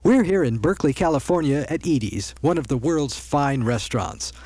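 A man's voice: a little high, lively intonation, moderate pace, darkish tone, husky, dry recording. A man playing a confiding commercial announcer with sales patter in a parody radio ad.

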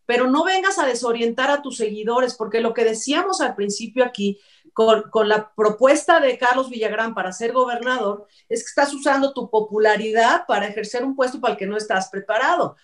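Opinion co-host: Mexican woman, middle-aged, high-pitched, insistent and argumentative, speaking over a video call. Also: fairly quick. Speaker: co-host commentator. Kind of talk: opinionated discussion.

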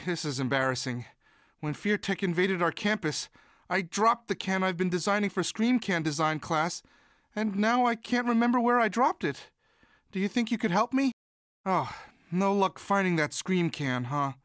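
A man's voice: high-pitched, fairly bright tone, no echo, clean studio sound. A man voicing a timid, sweet, eager young student monster in a video game, boyish, polite, earnest and hesitant. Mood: embarrassed, sheepish. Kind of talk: video game character voice lines.

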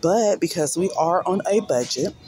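A woman's voice: lowish voice, lively intonation, moderate pace, mellow, soft background music. A woman in her forties, a mom vlogger chatting casually and a little sleepily to viewers while shopping on a budget, filmed on a handheld phone. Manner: chatty, folksy, talks to viewers as "y'all" and "baby". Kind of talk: casual shopping vlog talk. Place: parked car, then a big-box store. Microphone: handheld phone camera.

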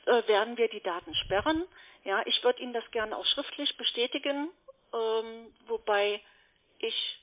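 A female call centre agent with a fairly high voice comes through a narrow phone line. She is courteous yet halting and evasive, feeling her way through what to tell the customer. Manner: hesitant, evasive, polite. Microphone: telephone.

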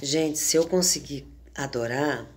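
Hoarse brazilian lady, 50s, got a cold, talks real slow and homey to her viewers, phone at arm's length at home. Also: deep voice, slight background noise. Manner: slow, homely talk with frequent "né" and "gente". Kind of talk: casual devotional chat to viewers. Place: home room indoors. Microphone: phone camera at arm's length.

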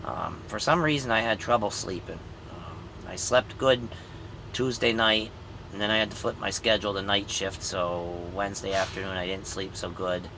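A man's voice: low voice, steady tone, moderate pace, noisy background. A man in his fifties, a truck driver and grandfather, gives a rambling, easygoing vlog update from inside his truck cab, recorded on a phone, and says he is tired. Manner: rambling and easygoing, with many ums. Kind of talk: casual vlog update to viewers. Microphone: phone camera at arm's length.

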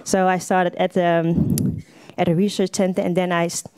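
A woman's voice: lowish voice, little pitch movement, moderate pace, a little mellow, smooth, no room sound. A woman, talking unprepared at a podium microphone, loose and full of "like" fillers. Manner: loose, with many "like" fillers and restarts. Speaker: panel speaker. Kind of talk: unprepared casual talk. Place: lecture hall, at a podium.

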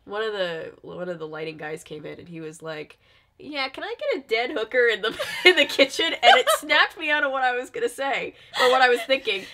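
Bright-voiced young woman hosting. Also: fairly high pitch, expressive, a little fast. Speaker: host. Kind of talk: casual reaction chat and anecdote. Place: small room, indoors.

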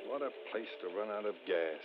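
A man's voice: fairly low pitch, lively intonation, a little fast, over loud music, natural voice. An actor playing a sensible man reasoning with his partner in an old-time radio suspense play. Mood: reassuring, exasperated. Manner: reasoning, dismissive of fears. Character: sensible, reassuring husband or boyfriend. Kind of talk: 1940s radio suspense acting. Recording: muffled noisy old-time radio recording.

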